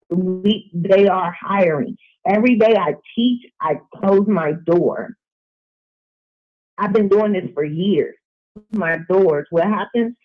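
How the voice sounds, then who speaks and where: A woman gives her opinion in a discussion, stressing and repeating phrases for emphasis. Her voice sits at a middle pitch and comes through thin and tinny, like a phone line. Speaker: teacher, guest in a discussion.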